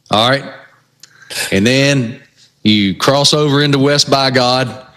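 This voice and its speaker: Male radio co-host, Southern accent, middle pitch, folksy animated storyteller. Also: slow pace. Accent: Southern American. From Southwest Virginia. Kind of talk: casual radio chat.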